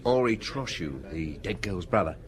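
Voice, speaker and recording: A man's voice: sits low, very animated, moderate pace. An actor playing an easygoing, chatty barman in a radio drama, informal and obliging. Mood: gossipy.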